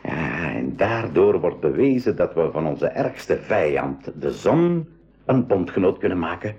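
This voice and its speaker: An actor playing a practical, encouraging professor uncle in a 1980s school radio play, explaining emphatically with a Belgian Dutch accent. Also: male voice, deep voice, big pitch swings, a little slow, breathy edge, full character voice. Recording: muffled 1980s radio recording.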